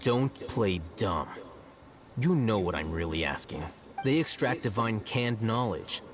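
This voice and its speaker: A man voicing a cool, scornful scholar in a video game, measured and condescending as he questions. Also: lowish voice, expressive, music in the background.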